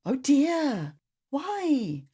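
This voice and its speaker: A woman voicing a gentle, coaxing mother or aunt in a children's story, with a singsong manner. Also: high voice, wildly swooping pitch, very slow, voice pushed up, exaggerated voice. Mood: concerned.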